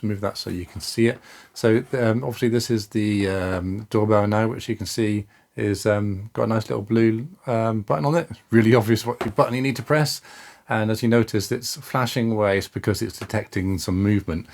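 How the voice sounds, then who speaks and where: A man's voice: mid-range voice, a little mellow. A man giving a casual, chatty product demonstration, informally thinking aloud and correcting himself as he goes. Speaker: product reviewer and video host. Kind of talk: casual unboxing and demonstration talk to camera.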